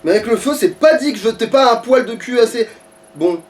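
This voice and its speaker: High-pitched guy doing a let's play, talks fast, swears and grumbles, bit far from the mic, game music behind. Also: expressive. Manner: foul-mouthed, grumbling. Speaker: let's player. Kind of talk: casual gaming commentary with a co-player.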